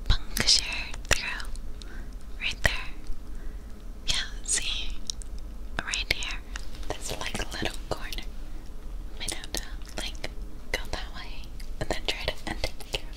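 Slow, whispering young woman. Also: poor audio. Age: young adult. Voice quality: breathy, unvoiced whisper. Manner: hesitant, halting, casually reassuring. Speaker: ASMR roleplay performer. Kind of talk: whispered ASMR roleplay as an alien examiner. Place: small dim room, indoors. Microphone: close binaural ear microphone.

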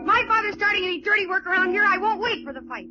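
An actress playing a feisty, hot-tempered young bride-to-be in an old-time radio adventure, on an old broadcast recording. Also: female voice, extremely high pitch, lively intonation, fast talker, lo-fi sound, over music. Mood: defiant.

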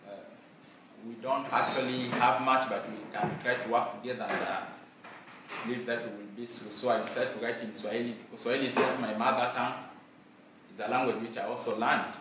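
A young man from Congo, a native Mashi speaker, giving an earnest student presentation in a library room with many filler pauses. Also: mid-range voice, fairly even intonation, background noise. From Democratic Republic of Congo. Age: young adult. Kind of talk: formal presentation to an audience. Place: library room with bookshelves, indoors. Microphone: far camera. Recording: echoey room, from far off.

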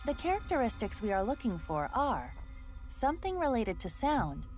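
A woman's voice: on the high side, big pitch swings, normal speed, darkish tone. A woman voicing a composed, inquisitive female AI investigator in a video game, speaking precisely and questioningly. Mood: composed, probing. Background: video game music.

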